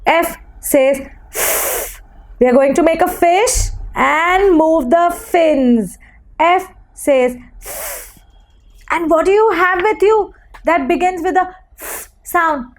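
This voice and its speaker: Woman, phonics teacher, very high pitch, very slow careful delivery, encouraging, question-and-praise style for small children. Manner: animated, encouraging, deliberate enunciation with repeated call-and-response questions.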